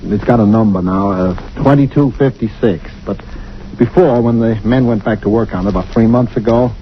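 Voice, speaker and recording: A man's voice: lowish voice, normal speed, lightly acted. An actor playing an anxious real estate agent who stammers as he confides in a friend, in a 1940s radio drama. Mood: worried. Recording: lo-fi 1940s radio broadcast with hiss.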